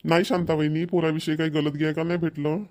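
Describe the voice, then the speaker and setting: A man's voice: on the high side, little pitch movement, quick pace, darkish tone, close to an everyday voice. A man voicing an earnest village father in a homemade cartoon, speaking colloquial, emphatic, repetitive Marathi with a Vidarbha accent. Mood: earnest, reassuring. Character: earnest village father arranging his daughter's marriage.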